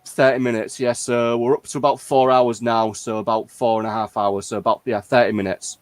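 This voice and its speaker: A man's voice: medium pitch, level tone, a little fast, no room sound. A man hosting a fan community chat in a casual, conversational way.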